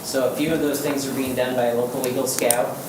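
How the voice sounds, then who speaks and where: A man's voice: medium pitch, little pitch movement, quick pace. Man reading aloud in an echoey chamber. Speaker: veterans memorial committee volunteer. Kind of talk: reading a thank-you letter and announcements. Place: city council chamber. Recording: echoey chamber, distant mic, background noise.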